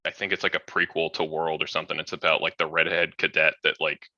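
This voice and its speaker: Male podcast panelist, low-pitched with a very sharp bright edge, loose casual slangy talk. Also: level tone, quick pace, no room sound. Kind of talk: casual chat about games and films.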